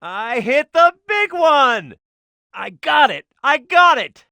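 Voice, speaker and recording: Brash, lively cartoon echidna brawler. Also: male voice, very high-pitched, slow pace, creaky, no echo, heavily acted. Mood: fired up. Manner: brash, punchy, emphatic delivery. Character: tough, hot-headed male cartoon echidna brawler, confident and gruff. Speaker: video game character. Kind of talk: video game voice acting, tutorial hints and battle shouts.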